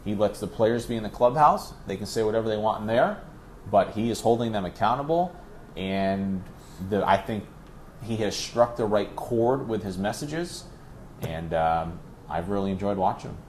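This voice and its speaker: A man's voice: lowish voice, lively intonation, moderate pace, a little mellow, slight background noise. Emphatic male sports announcer in conversation. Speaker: radio play-by-play announcer, interview guest.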